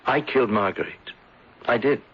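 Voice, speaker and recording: An anguished Victorian insurance agent in an old radio play. His voice is husky and halting, formal in wording and heavy with guilt. The sound is a dull vintage broadcast. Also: mid-range voice. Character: grief-stricken, guilt-ridden Victorian insurance agent John Meltham. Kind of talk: old-time radio drama acting.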